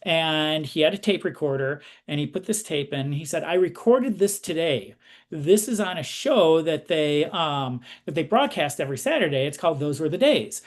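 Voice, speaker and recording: A man hosting a conversational interview show, speaking in a halting way and circling back as he explains. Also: on the high side, expressive.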